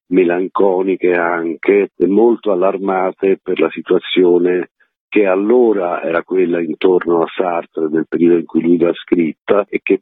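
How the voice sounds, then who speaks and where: Low husky man on a phone line. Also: no room sound. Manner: reflective, wordy, with frequent qualifiers. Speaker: stage actor, interview guest. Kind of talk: explanatory radio interview answers. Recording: tinny phone line into radio.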